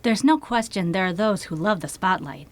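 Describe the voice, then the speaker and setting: A woman's voice: medium pitch, animated, moderate pace, close to an everyday voice. A woman playing a curt community radio operations director, matter-of-fact and dry. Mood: curt, businesslike.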